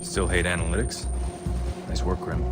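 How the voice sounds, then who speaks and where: Actor as a deep-voiced, hard covert operative. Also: male voice, flat delivery, moderate pace, over music, natural voice. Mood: firm, resolute. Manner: terse, commanding. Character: gruff, middle-aged male covert operative team leader, hard and terse. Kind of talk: dramatic game cutscene acting.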